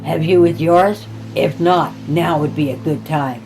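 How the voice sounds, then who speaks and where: A woman's voice: deep voice, unhurried, darkish tone, soft background music, poor audio. A woman voicing a drowned male corpse, a smug and courtly servant of a sea god, in a horror story reading. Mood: smug, coaxing. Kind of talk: horror audiobook character.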